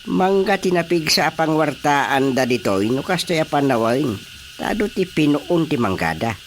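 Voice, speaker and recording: A man's voice: a little high, expressive, moderate pace, a little hiss or hum, close to an everyday voice. A man playing a folksy middle-aged rural father in a radio drama comedy, with an Ilocano accent. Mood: admiring, animated.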